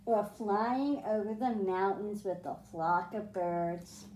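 A middle-aged woman hosting a children's storytime, speaking in simple, deliberate phrases meant for young kids. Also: mid-range voice, mellow. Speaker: children's librarian, storytime host. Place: small library room with bookshelves, indoors. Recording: roomy, echoing indoor sound.

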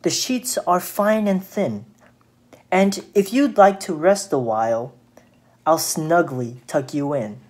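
A woman voicing a sly, flattering male spider for a children's storybook, with slow, honeyed, drawn-out coaxing. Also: low-pitched, exaggerated voice. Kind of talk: children's storybook read aloud.

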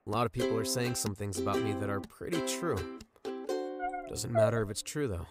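A woman performing a comic parody apology song in a deliberate sing-song way over ukulele. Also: very deep voice, expressive, loud music behind the voice.

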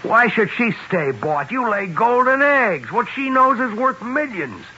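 An actor playing a relentless, sardonic, moralizing accuser in a radio drama, taunting with pointed questions. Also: male voice, very high-pitched, very animated, a little slow, bright, forceful tone, slightly rough, exaggerated voice. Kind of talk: 1970s radio drama acting. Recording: hissy muffled 1970s radio recording.

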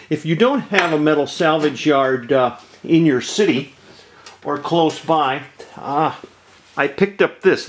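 A man hosting a DIY video, explaining a cheap welding setup at his workshop bench in a halting, restart-filled way. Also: medium pitch, noisy background. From Southern California. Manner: halting, many ums and uhs, restarts. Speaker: DIY channel host. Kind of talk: casual how-to demonstration. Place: home workshop, at a workbench.

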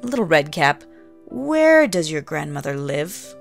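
A woman voicing a sly, wicked male wolf in a storybook reading, coaxing and slow. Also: low-pitched, very animated, vocal fry, soft background music, voice pushed down, heavily acted.

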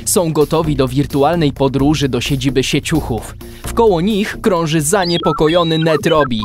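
A man's voice: fairly high pitch, moderate pace, faint music behind. A man narrating a scripted radio drama as storyteller.

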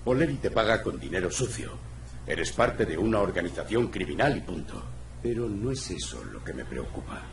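An actor playing a blunt, world-weary cat private detective in a video game, with a gravelly voice. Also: male voice, low-pitched, very animated, moderate pace, a little hiss or hum. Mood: accusing. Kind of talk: video game voice acting, noir.

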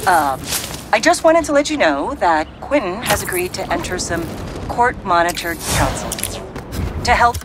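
A woman's voice: on the low side, sing-song, unhurried. An actress playing a polite, earnest, slightly hesitant woman in a video game, speaking naturally and considerately. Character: polite, earnest woman leaving a grateful message. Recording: loud music over the voice.

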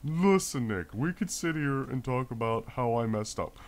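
A man's voice: medium pitch, animated, moderate pace. A young man hosting a gaming video, chatting and joking playfully with a friend while he plays. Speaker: gaming channel host. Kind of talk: casual gameplay banter.

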